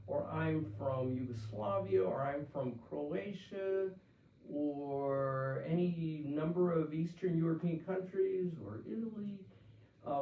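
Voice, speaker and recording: An older man, a pastor from Pennsylvania, answering listener letters in a conversational Q&A talk with frequent restarts and fillers. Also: a little high. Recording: roomy, distant, dull-sounding.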